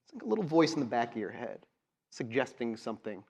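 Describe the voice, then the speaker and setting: A man's voice: medium pitch, sing-song, fast, gravelly, darkish tone, husky. A man narrating as game master in a live tabletop roleplaying show. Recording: live convention stage recording.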